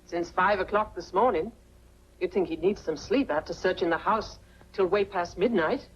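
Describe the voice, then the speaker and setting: A woman's voice: fairly low pitch, moderate pace, husky. An American actress playing a motherly, fussing household helper in a 1940s film. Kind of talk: 1940s film noir acting. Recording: muffled, noisy 1940s film soundtrack.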